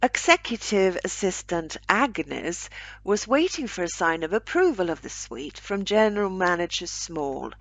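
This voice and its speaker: A woman reading a short story aloud as a narrator, with a measured, wry storytelling delivery. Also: medium pitch, dry recording.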